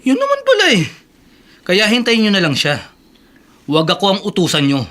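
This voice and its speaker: An actor playing a proud, hot-tempered former janitor in a radio drama, emphatic and defiant. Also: male voice, high voice, wildly swooping pitch, fairly quick, fairly bright tone, full character voice. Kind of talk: Tagalog radio drama acting.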